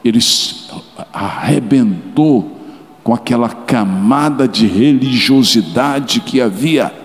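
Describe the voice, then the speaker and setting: A middle-aged Brazilian man preaching to a church, speaking at an ordinary pitch for a man. He tells a story with hushed asides and bursts of loud, rising exclamation. His voice rings out with a long reverb in a big hall, and soft music plays underneath. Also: slow. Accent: Brazilian Portuguese. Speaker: pastor. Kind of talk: sermon. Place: large church auditorium.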